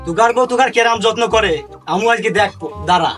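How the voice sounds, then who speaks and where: Man playing mocking rural bachelor, high voice, rapid delivery, comedy skit, music behind. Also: fairly bright tone. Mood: indignant. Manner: mocking, teasing. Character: teasing young bachelor villager spying on boastful husbands.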